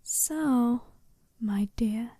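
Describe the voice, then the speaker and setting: A woman voicing a seductive, self-assured werewolf bride in an ASMR roleplay, soft-spoken and teasing, often slipping into a breathy whisper. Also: on the high side, no echo. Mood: alluring, challenging. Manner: slow, teasing, deliberate, intimate.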